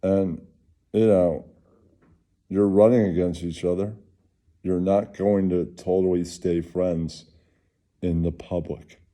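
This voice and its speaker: A man hosting with casual, rambling political commentary, halting and repeating himself, sometimes crude. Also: low voice, steady tone, dark tone. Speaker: host.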